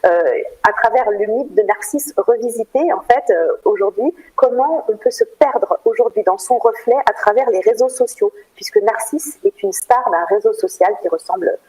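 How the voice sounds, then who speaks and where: A woman answering radio interview questions in an explanatory way, often pausing as she searches for words. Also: a little high, a little mellow, poor audio. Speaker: theatre programme manager, interview guest.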